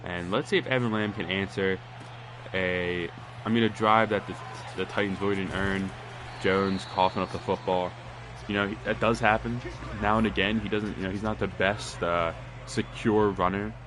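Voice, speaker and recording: A man with a congested, nasal voice giving casual play-by-play commentary on a football video game, rambling and getting louder on big plays. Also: deep voice, steady tone, normal speed, noisy background. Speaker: gaming commentator.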